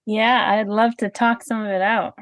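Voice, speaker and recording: A woman's voice: mid-range voice, level tone, moderate pace, a bit bright. A woman interviewed as a guest on a podcast, giving short friendly answers. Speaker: podcast guest, sauna owner. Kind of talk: casual interview.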